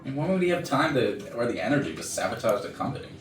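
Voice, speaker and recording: A man's voice: mid-range voice, very animated, fast, lightly acted. A man acting a clueless, blustering IT executive who muddles his words in a comedy staged reading. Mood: accusing. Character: clueless blustering male IT executive, a know-it-all. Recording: noisy roomy rehearsal, far from mic.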